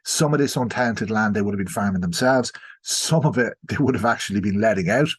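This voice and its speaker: A man's voice: fairly low pitch, brisk, breathy edge. An Irish-accented man speaking as a historian guest in a conversational interview, explaining things discursively and often qualifying his own points.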